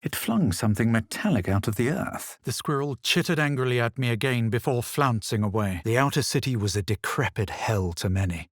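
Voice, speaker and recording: Man acting haughty fantasy hero, husky and expressive. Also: mid-range voice, no room sound, studio quality, close to an everyday voice. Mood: narrating, solemn. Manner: measured, superior, dramatic delivery. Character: haughty, self-assured male fantasy adventurer hero. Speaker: voice actor of a player character. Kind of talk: scripted video game character lines and narration.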